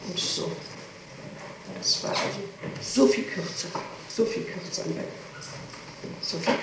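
A woman's voice: mid-range voice, takes it slowly. A woman answering questions in a formal interrogation, explaining herself and insisting on details as she repeats them. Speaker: person being questioned by a prosecutor. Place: reverberant room, interrogation recorded on tape. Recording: echoey archival tape, distant mic, hiss.

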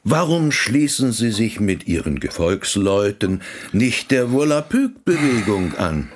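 A condescending German priest in a radio drama. He speaks slowly in a low, husky voice, measured and patronising, as if every word were calculated. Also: big pitch swings, heavily acted. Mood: probing. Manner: measured, patronising, smooth-mannered. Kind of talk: radio play acting.

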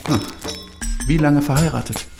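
A man's voice: fairly high pitch, normal speed, gravelly, over music. An actor in a radio play voicing a French male physician who questions in a terse, clinical manner. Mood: clinical, probing.

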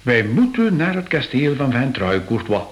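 An actor playing an earnest, mystically minded art curator in a radio play, solemn and reflective. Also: male voice, medium pitch, big pitch swings, normal speed, lightly acted. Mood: fervent, reflective. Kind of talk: Dutch-language radio play acting.

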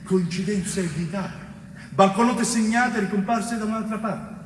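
A man's voice: high-pitched, moderate pace, lightly acted. A man acting a grave investigator in an echoey hall. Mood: grave, insistent. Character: grave, dogged male police investigator recounting a betrayed inquiry. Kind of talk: dramatic theatre monologue. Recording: echoey hall, distant mic, faint noise.

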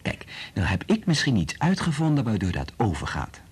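Radio drama: gentle, reassuring doctor, low husky voice, very lively intonation. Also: male voice, moderate pace, exaggerated voice. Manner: gentle, coaxing. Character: kindly, reassuring male doctor soothing a child.

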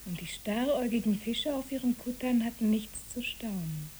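A woman's voice: a little high, expressive, normal speed, warm tone, a little hiss or hum, lightly acted, low-quality recording. A woman playing a dreamy, imaginative child in a poetic radio play, speaking earnestly and lyrically. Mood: wistful.

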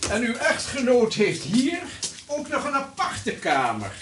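An actor playing a courteous, deferential house agent in a period radio drama, speaking politely and formally. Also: male voice, extremely high pitch, huge pitch swings, measured pace, big-room sound, full character voice. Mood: obliging. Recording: noisy old radio drama recording.

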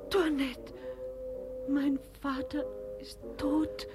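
A woman playing a tender, grieving young daughter in a classic radio play, breathy and melodramatic. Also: high-pitched, fairly even intonation, drawn-out words, music in the background, full character voice. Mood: anxious. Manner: melodramatic, plaintive. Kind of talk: German radio play acting of classic comedy.

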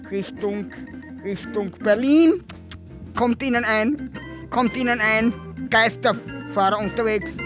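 An actress playing a flustered radio traffic announcer who stumbles and repeats phrases in an experimental radio play. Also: female voice, fairly high pitch. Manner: halting, repeats and breaks off phrases. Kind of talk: avant-garde radio play, mock traffic bulletin.

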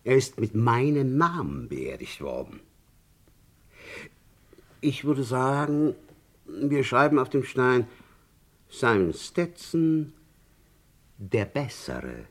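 An actor playing a pompous, self-satisfied English gentleman with declamatory delivery in a 1960s German radio crime comedy. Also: mid-range voice, wildly swooping pitch, slow, pauses often, heavily acted. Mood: grandiose. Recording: old 1960s radio play recording.

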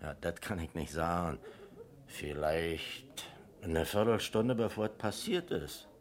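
An old-established corner-pub landlord in a radio crime play. He is a deep-voiced, folksy man with a Berlin accent, and his melody moves about a lot as he makes his points emphatically. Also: animated, slow. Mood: unsure, helpful. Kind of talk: 1970s German radio crime drama acting.

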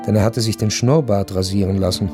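Actor as sober male narrator for a radio play, measured storytelling, loud guitar n violin music with it. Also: lowish voice, steady tone, close to an everyday voice. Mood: sober recollection. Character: male first-person narrator soberly recalling his past. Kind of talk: scripted literary narration in a radio drama. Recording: prominent string music under the voice.

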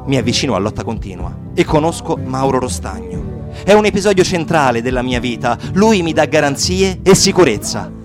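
An actor playing an impassioned young Sicilian activist recounting his political life in a solemn, deliberate radio-theatre monologue. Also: mid-range voice, lightly acted. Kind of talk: dramatic first-person monologue in radio theatre. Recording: acoustic guitar music behind the voice.

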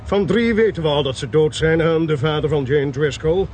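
A man acting a sharp, assertive detective in a radio play, clipped and commanding as he reasons. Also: on the high side, normal speed, a little hiss or hum, lightly acted. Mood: confident, explanatory. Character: sharp, assertive male detective reasoning through a murder case. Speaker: actor in a radio drama.

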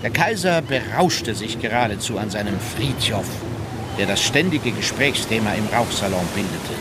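An actor playing a critical, disdainful court diarist, reading in a dry, matter-of-fact way for an audio drama. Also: male voice, fairly low pitch, lively intonation, moderate pace, very noisy, lightly acted. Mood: dry disapproval. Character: critical diarist in the Kaiser's entourage, dryly disdainful.

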